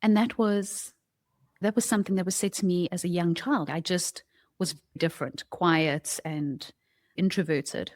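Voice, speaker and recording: A woman's voice: medium pitch, no echo, clean studio sound. A woman co-hosting a podcast, talking candidly and reflectively in a conversational style. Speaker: podcast co-host.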